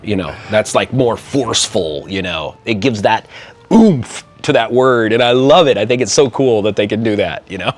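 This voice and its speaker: A man's voice: lowish voice, animated, normal speed. A middle-aged man from the American Midwest with a Midwestern accent, talking casually in an interview, chatty and self-deprecating, laughing often. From Midwestern USA. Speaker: interview guest.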